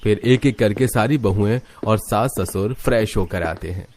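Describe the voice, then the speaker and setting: कहानी सुनाता गहरी आवाज़ वाला पुरुष. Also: male voice, fairly low pitch, moderate pace, a little mellow, slight background noise. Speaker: narrator. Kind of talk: scripted cartoon story narration.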